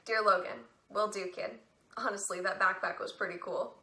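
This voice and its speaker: A woman's voice: mid-range voice, steady tone, normal speed, sharp tone, lightly acted. A woman playing a depressed, wry teenage girl reading out letters in a stage drama. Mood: wry, soft. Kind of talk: one-act play letter monologue. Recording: roomy echoing sound.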